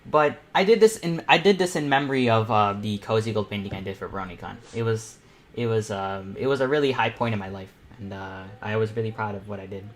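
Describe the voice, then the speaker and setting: A young man hosting a live online art panel, chatting casually and excitably to viewers as he paints. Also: on the low side, brisk. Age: teens or young adult. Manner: informal, excitable, giggly.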